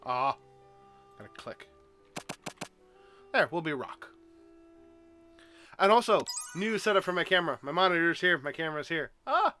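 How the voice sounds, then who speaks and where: Casting: stuffed-up game host, chatty, bright and lively delivery, headset mic, faint guitar music in the background. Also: mid-range voice, normal speed, long pauses. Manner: chatty, reads on-screen text aloud with asides. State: stuffed up from a cold. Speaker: let's play host. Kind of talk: casual gameplay commentary. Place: home gaming setup.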